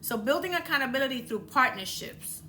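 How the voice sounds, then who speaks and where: A woman's voice: sits high, expressive, fairly quick, sharp tone, slight background noise. A woman from the Dominican Republic with a Dominican accent, hosting a motivational podcast and coaching listeners earnestly, often repeating words for emphasis. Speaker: podcast host.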